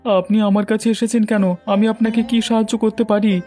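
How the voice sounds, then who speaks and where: Man acting curt high-pitched village man, quick. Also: flat delivery, warm tone, close to an everyday voice. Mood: irritated, dismissive. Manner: dismissive, insistent. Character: curt, irritated village man refusing to get involved. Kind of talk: dubbed cartoon dialogue. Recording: music bed behind the voice.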